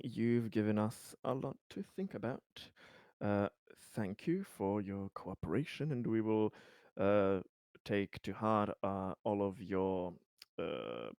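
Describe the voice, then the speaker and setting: A man with a fairly low voice takes part in a tabletop roleplaying game. He speaks slowly and deliberately, pausing between phrases. The sound around him is very dry. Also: gravelly, darkish tone, clear, resonant tone, dry recording. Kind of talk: casual in-character and out-of-character roleplay.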